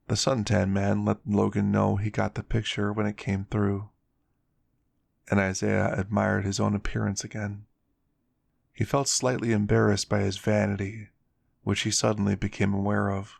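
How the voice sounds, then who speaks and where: A man with a deep voice reads a scripted story for ASMR. His delivery stays level and even, with a gravelly creak running through it. The sound is dry and close, free of room echo. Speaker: storyteller, narrator.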